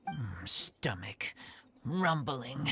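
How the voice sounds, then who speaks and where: A man's voice: mid-range voice, big pitch swings, very slow, gravelly, sharp tone, soft background music, heavily acted. A man voicing a shy feral teenage boy raised by wolves in an anime-style game, speaking in terse, broken phrases. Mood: hungry, subdued.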